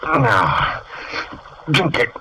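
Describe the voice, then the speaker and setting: An actor playing Dr. Jekyll, a troubled gentleman scientist, in theatrical 1940s radio drama style. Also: mid-range voice, sing-song, very slow, full character voice, rough recording. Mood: intense. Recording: old radio recording with faint hiss.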